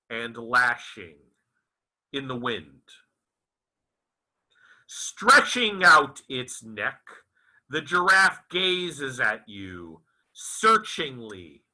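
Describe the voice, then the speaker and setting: A man reading literary prose aloud as its author, in a dramatic, deliberate recitation with halting phrases and emphatic enunciation. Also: mid-range voice, bright, forceful tone, low-quality recording. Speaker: author reading his own novel aloud.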